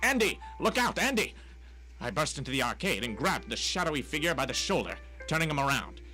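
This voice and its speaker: A man's voice: fairly high pitch, very animated, brisk, bright, forceful tone, soft background music. A man playing a wisecracking, hapless private detective in a noir radio drama, theatrical and full of old-timey slang. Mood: excitable. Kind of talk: hard-boiled noir radio drama narration.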